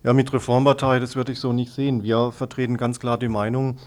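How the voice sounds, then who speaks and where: A man speaking as a political spokesman and guest in a radio interview, explaining things in halting phrases. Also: medium pitch, flat delivery, a little mellow.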